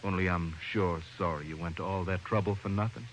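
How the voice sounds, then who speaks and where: A man's voice: deep voice, a little fast, loud music behind the voice, lightly acted. An American actor playing a folksy, shrewd small-town lawman in a 1950s radio drama, with sly mock humility. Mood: sly, teasing. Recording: faintly noisy old radio recording.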